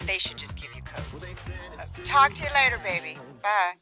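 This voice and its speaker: A woman's voice: medium pitch, big pitch swings, moderate pace, music in the background, close to an everyday voice. A woman playing an affectionate, fussing caller in a video game cutscene, chatting dotingly on the phone.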